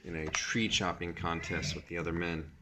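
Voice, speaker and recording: Male storybook reader, lowish voice, teacherly and measured, narrating with short explanatory asides. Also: flat delivery, noisy background. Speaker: teacher reading a picture book aloud. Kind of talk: storybook read-aloud with brief asides.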